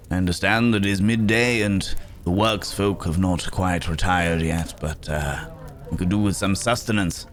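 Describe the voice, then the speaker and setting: A man performs a world-weary gentleman werewolf with an extremely low voice. He talks in formal, genteel phrases, musing and pausing with small hums. It is an intimate ASMR fantasy roleplay addressed to a companion. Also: close to an everyday voice. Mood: polite, genial. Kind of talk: ASMR roleplay acting, spoken to the listener.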